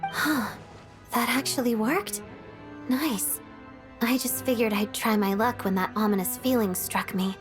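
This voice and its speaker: High-voiced, composed young fantasy game heroine, played by an actress. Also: female voice, a little slow, music in the background, natural voice. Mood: matter-of-fact. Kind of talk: video game voice acting.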